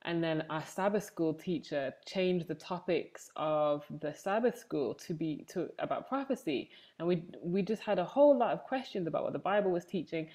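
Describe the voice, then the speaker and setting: A woman's voice: lowish voice, animated, normal speed, hardly any pauses, mellow. A young woman with an English accent, giving a conversational personal account as an interview guest on a video call. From Manchester, England. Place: room at home, indoors, on a video call. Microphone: wired earphones on a video call.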